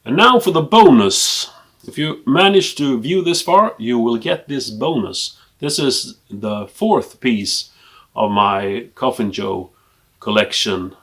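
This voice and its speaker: A man with a Swedish accent presents items from a collection in a casual, unscripted way. His voice sits at a middling pitch. He speaks hesitantly, often halting and trailing off into small asides. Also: expressive, a bit bright, slightly rough. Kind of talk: casual unscripted show-and-tell.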